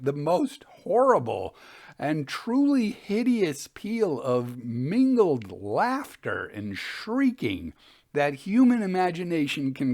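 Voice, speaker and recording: A man hosting and reading Victorian ghost stories in a measured, expressive storytelling manner. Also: fairly high pitch. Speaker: podcast host and reader of ghost stories.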